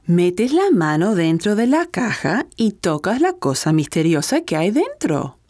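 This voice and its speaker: A woman's voice: lowish voice, big pitch swings, unhurried, darkish tone, soft background music. A woman playing a playful, reassuring young mother in a children's cartoon dub.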